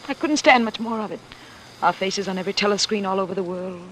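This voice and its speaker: A woman's voice: mid-range voice, fast, fairly bright tone, natural voice. A devoted, fretful woman in love played by a radio actress, earnest and theatrical. The vintage radio drama recording carries a light layer of noise. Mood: anxious, humble. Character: devoted, anxious woman, lover of a fallen ruler. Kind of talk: old-time radio drama acting. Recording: noisy vintage radio broadcast.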